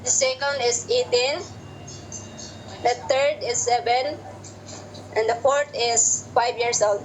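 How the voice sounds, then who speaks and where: A woman's voice: a little high, fairly even intonation, takes it slowly, a little hiss or hum, rough recording. A woman in her forties with a Filipino accent, answering job interview questions politely and hesitantly, adding "sir" to nearly every reply. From Philippines. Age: 40s. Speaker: job applicant.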